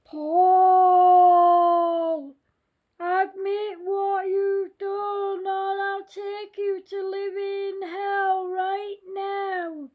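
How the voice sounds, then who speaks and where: A child plays a menacing storybook phantom who accuses and threatens. The voice is very high and extremely slow, stretching words into a sing-song. The recording is dull and muffled. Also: monotone, heavily acted. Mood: threatening. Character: menacing ghostly scary voice accusing schoolchildren.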